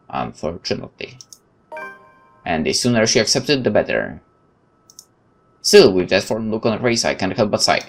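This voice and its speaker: A man hosting a gaming video, expressively reading out game text and adding his own commentary. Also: on the low side. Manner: expressive, reads game text aloud with interjections. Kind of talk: let's play narration and commentary.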